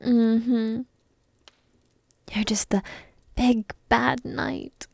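A woman playing a young dragon princess in an ASMR roleplay, coy, teasing and lovestruck, half-whispering in a soft, intimate, breathy way. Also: fairly high pitch, little pitch movement, extremely slow, dry recording, heavily acted. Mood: playful teasing.

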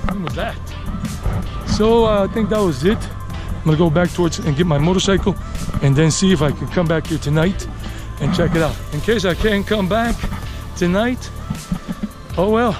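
Chatty middle-aged man, higher-pitched voice. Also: moderate pace, over music. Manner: chatty, rambling, thinking aloud. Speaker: travel vlogger and walking tour host. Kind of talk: casual walking commentary to viewers. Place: outdoors, walking a leafy urban residential street.

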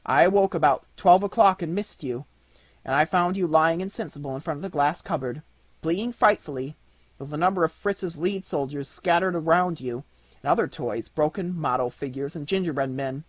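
A man's voice: medium pitch, big pitch swings, measured pace, gravelly, hardly any pauses, husky, dry recording, heavily acted, rough recording. A man voicing a scolding, anxious mother in a storybook, with a fussing, reproachful manner.

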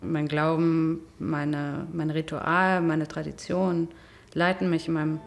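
A young woman from Germany speaking calmly and reflectively in a documentary interview. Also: low voice, slow pace, creaky. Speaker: interviewee, rabbinical student. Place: indoor room with plants. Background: soft music.

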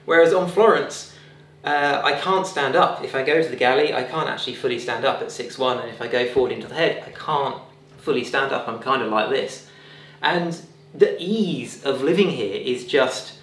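A man's voice: mid-range voice, slight background noise, rough recording. A man in his thirties chatting casually and joking dryly in a travel vlog. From England. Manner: relaxed, dry joking. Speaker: sailing vlogger. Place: apartment and small car in a game park.

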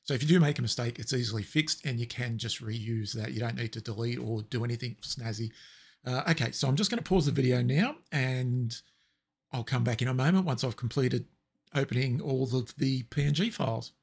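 A man with a raspy voice explaining software steps in a relaxed, step-by-step tutorial. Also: mid-range voice, brisk. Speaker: tutorial presenter. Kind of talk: screen-recorded software tutorial.

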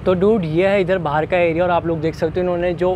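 A man's voice: sits high, fairly even intonation, fast talker, music in the background. A young man presenting a casual food vlog to a handheld camera, informal and mixing in English words. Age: young adult. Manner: informal, repeats filler phrases, mixes in English words. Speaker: food vlogger, host. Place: large, mostly empty restaurant. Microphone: handheld camera at arm's length. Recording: clear background noise, restaurant ambience.

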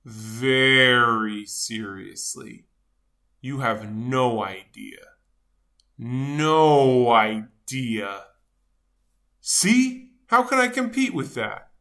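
A woman's voice: rumbling low, animated, very slow, fairly bright tone, pitched below the performer's own voice, exaggerated voice. A woman voicing a grumpy, dramatic but good-hearted male sunflower seed in a children's storybook, with theatrical, self-important delivery. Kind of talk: animated children's storybook read-aloud.

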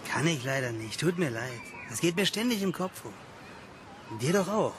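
Radio-play scientist, played by a man: young, persistent and earnest, animated intonation, natural dialogue over noise. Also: fairly high pitch, moderate pace, close to an everyday voice. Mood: earnest, insistent.

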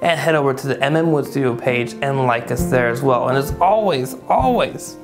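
A man's voice: medium pitch, animated, normal speed, music in the background. A man in his thirties hosting a casual woodworking shop update, upbeat and chatty, in a furnished room. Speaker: woodworking channel host.

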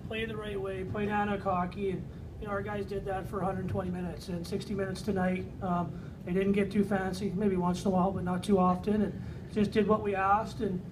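A man's voice: sits high, level tone, a little fast. A middle-aged man, an assistant hockey coach, giving plain-spoken post-game remarks peppered with "you know". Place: indoor press conference room. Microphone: far camera. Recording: distant camera mic, noisy room.

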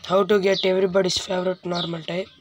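A woman hosting a let's play with casual, informal gameplay commentary, halting and often repeating phrases. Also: lowish voice, level tone, a little hiss or hum.

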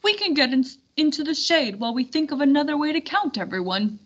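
A woman's voice: high voice, very animated, fairly quick, dull audio, voice pushed up. A woman voicing an eager, take-charge medieval knight giving directions in a storybook. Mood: commanding. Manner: proclaiming, directing.

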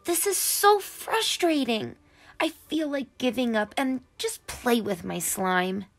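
A woman's voice: on the high side, lively intonation, slow, full character voice. A woman voicing an eager, earnest schoolboy from a picture book, with bouncy, exclamatory delivery. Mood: frustrated. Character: eager, earnest schoolboy superhero fan, excitable.

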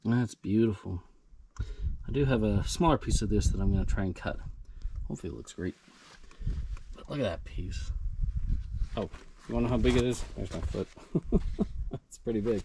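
A man's voice: lowish voice, normal speed, vocal fry, pauses often, background noise. A man vlogging outdoors, enthusiastically showing fossils and cut rocks to his viewers in casual show-and-tell narration. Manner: enthusiastic, talks to viewers while handling rocks. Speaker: rockhounding vlogger. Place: outdoors at a mine site and a backyard. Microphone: action camera mic.

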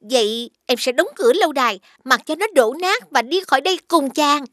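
A woman playing a gentle, devoted young maiden in an animated fairy tale, speaking with formal courtesy. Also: high-pitched, a little fast, no room sound, clean studio sound. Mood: tender. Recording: clear music behind the voice.

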